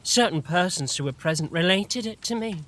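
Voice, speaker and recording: A man playing an earnest, reverent believer in a classic audio drama, speaking in a measured, declamatory way. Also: sits high, expressive, fairly bright tone. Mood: reverent, assured. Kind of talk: classic stage play performed as audio drama.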